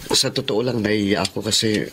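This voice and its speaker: A man's voice: fairly low pitch, fairly even intonation, normal speed, noisy background, lightly acted. An actor playing a humble, struggling father of three in a Tagalog radio drama, earnest and plaintive in delivery. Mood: grateful, humbled.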